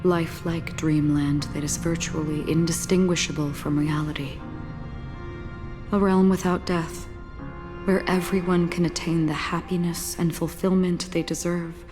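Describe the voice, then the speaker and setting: Woman playing a grave, melancholic guide at death's edge: low, breathy, unhurried, game dialogue over clear music. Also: steady tone, lightly acted. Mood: solemn, questioning. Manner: measured, grave, soft-spoken and breathy.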